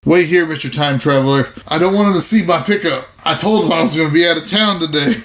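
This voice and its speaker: A man's voice: a little high, fast, a bit bright. A man chatting and improvising casually as a player in a tabletop horror roleplaying game.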